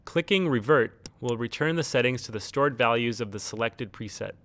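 A man reading a scripted instructional tutorial in a measured, neutral, step-by-step way. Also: medium pitch, expressive, fairly bright tone. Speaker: tutorial narrator. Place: close, dry studio-style voice-over recording.

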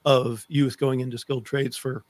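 A man's voice: medium pitch, level tone, normal speed. A middle-aged American man hosting a conversational interview, speaking into a close desk microphone. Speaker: podcast host. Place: room with bookshelves, on video call. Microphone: close studio microphone on desk.

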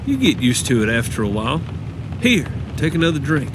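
A man plays a young moonshiner in an audio drama. He is easygoing and cocky, coaxing his girl in a medium-pitched voice over noisy rain and vehicle ambience. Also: big pitch swings, a little fast, natural voice.

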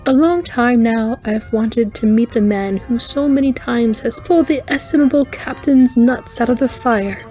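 A woman's voice: a little high, dark tone, soft background music, voice pushed up, close to an everyday voice. A woman voicing a cheerful, intense female alien spider engineer in an audiobook, with a light chiming voice and courteous, precise speech. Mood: merry, courteous. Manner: brisk, precise, courteous, slightly formal. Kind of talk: audiobook character voice.

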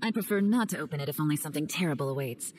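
A woman's voice: deep voice, expressive, racing, a little mellow, soft background music. A cool, wry female spy. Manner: dry, guarded. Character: cool female secret agent, wry and guarded. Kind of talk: video game voice acting.

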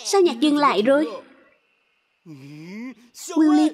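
High-pitched excitable young cartoon animal voiced by a woman. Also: slow pace. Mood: excited. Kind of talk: cartoon dubbing.

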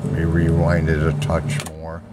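A man's voice: low-pitched, completely flat delivery, moderate pace, heavy background noise. A man giving a casual, unscripted, plain-spoken product demonstration as a seller, recorded indoors on a handheld camera's microphone. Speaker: seller demonstrating used audio gear. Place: indoor room at home. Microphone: handheld camera mic, speaker off screen. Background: music playing from a record.

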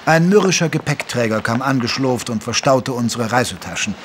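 An actor playing a retired old army doctor who narrates a radio play in a measured, genteel, reminiscing way. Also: male voice, mid-range voice, expressive, background noise, close to an everyday voice. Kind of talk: scripted first-person radio play narration in German.

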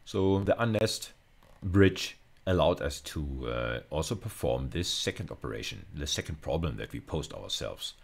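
A middle-aged man with an extremely low voice and plenty of gravelly fry. He lectures informally, walking through material one step at a time, repeating and rewording phrases as he thinks out loud. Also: sing-song, normal speed. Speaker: university lecturer. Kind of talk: informal screencast lecture, explaining code step by step.

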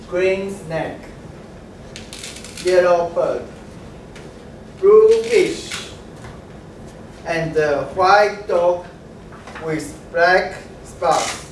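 A young man playing an eager little frog child, reading his lines slowly in a readers' theatre. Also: fairly high pitch, slight background noise. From Taiwan. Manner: slow, deliberate reading from a script. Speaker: student reader. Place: large classroom, standing in a row. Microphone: far camera. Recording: very echoey classroom from far off.

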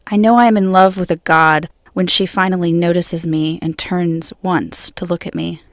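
A woman poet reading her own poems in a measured, solemn way. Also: on the low side, fairly even intonation, dry recording, clean studio sound. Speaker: guest poet. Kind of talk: poetry reading.

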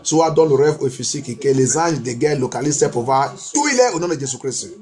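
A man's voice: mid-range voice, lively intonation, a little fast, a crowd in the background. A male pastor, leading fervent church prayer with insistent, repeated declarations. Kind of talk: fervent church prayer, alternating French and English.

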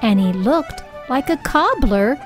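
A woman narrates a children's storybook in a high voice. She goes slowly and deliberately, phrasing each line expressively, with music playing behind her. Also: animated. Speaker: storybook reader. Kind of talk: children's read-aloud narration.

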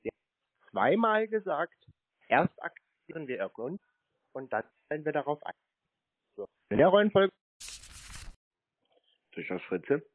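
A man's voice: on the high side, very animated, unhurried, long pauses, no room sound. A man bantering casually on a call, nonchalant, stubborn and teasing, as he strings a caller along. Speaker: prankster taking scam calls. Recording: narrow phone-like sound.

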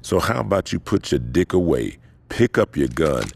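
An actor playing a proud, commanding, middle-aged American gang boss in a video game, speaking in a gravelly, imperious, profane way. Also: male voice, low-pitched, very animated, normal speed, darkish tone, a little hiss or hum, exaggerated voice. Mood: cocky, irritated. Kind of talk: video game cutscene acting.

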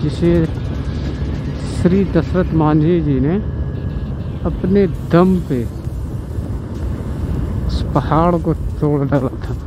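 Loud music plays over a man. His voice sits a little high and he speaks slowly, in short broken phrases. It is casual motovlog commentary, made while riding. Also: rough recording. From Bihar, India. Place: outdoors on a motorbike on a rural road.

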